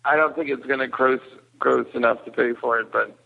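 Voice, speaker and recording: A man's voice: mid-range voice, fairly even intonation, a little fast, creaky, narrow, tinny audio. A man chatting casually as a podcast guest, giving his opinions in a review.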